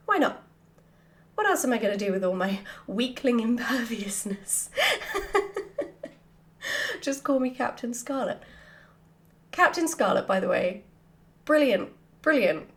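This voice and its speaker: A woman chatting casually and answering questions in an animated, giggly, self-deprecating way, as a writer hosting a Q&A. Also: a little high.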